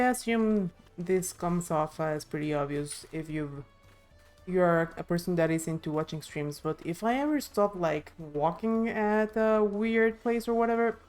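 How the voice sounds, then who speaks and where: A woman's voice: fairly low pitch, expressive, normal speed, darkish tone. A woman streaming a game, reading dialogue aloud with side comments and the occasional stumble. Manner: reads with occasional stumbles and self-corrections. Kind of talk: live playthrough, scripted dialogue read aloud with side comments. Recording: game music behind the voice. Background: game music.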